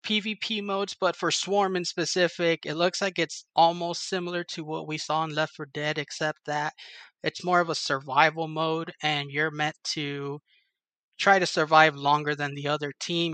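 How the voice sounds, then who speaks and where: A man's voice: a little high, pushed and bright, no echo. A man hosting a show in an enthusiastic, informal way, speaking casually like someone sharing news with fans. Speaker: show host. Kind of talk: casual gaming news recap and interview.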